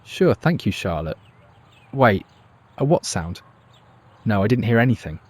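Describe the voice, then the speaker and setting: A synthetic man's voice playing a polite, puzzled male game character in plain conversational cutscene dialogue, with a husky tone. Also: fairly low pitch, sing-song, measured pace, heavily acted.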